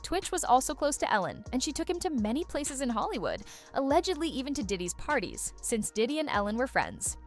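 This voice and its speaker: Woman, scripted gossip narration, dramatic, lively intonation, faint music behind. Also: high-pitched, fairly quick, hardly any pauses. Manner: dramatic, suspense-building, poses questions.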